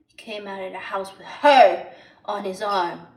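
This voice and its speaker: A woman playing an embittered, unhinged young woman jealous of her sister, telling her story slowly and swinging into bitter outbursts in a dramatic stage monologue. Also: medium pitch, steady tone, a little mellow, natural voice. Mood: furious, wounded. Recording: roomy echo, distant microphone.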